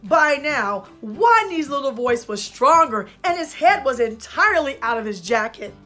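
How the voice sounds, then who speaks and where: High-pitched, animated woman storyteller for children. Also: faint music behind. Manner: deliberate, emphatic phrasing for children. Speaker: storyteller and host of children's read-alouds. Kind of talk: expressive picture-book read-aloud and talk to children.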